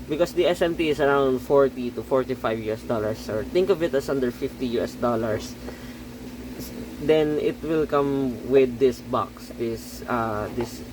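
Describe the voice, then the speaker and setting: Guy reviewing earbuds in english, lots of uh and um, keeps saying okay?, average pitch, bit of faint noise behind. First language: Tagalog. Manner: halting, with many fillers and self-corrections, checking in with "okay?". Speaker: audio gear reviewer. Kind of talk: casual spoken product review.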